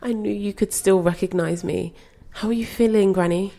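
An actress playing a young granddaughter in an audio play, gentle, casual and a little awkward as she talks. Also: mid-range voice, steady tone, normal speed, gravelly, close to an everyday voice. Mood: tentative, gentle.

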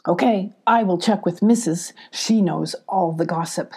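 A polite, plodding male beaver, as a woman reader puts him on for a children's storybook. He is eager to help, in a husky, mellow voice. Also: fairly low pitch, unhurried. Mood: unsure.

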